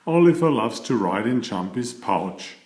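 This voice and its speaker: Animated, unhurried male storybook reader. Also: mid-range voice. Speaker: children's book reader. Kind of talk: storybook read-aloud narration. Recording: roomy, echoing voice recording.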